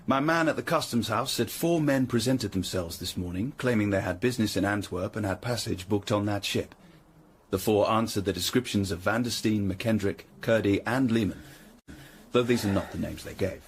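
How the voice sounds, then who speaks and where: An actor playing a tough, proud Tudor hired ruffian in a radio drama, with a rough, husky voice and blunt, clipped delivery. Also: male voice, on the low side, moderate pace, a little hiss or hum, lightly acted. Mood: businesslike. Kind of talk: period radio drama acting.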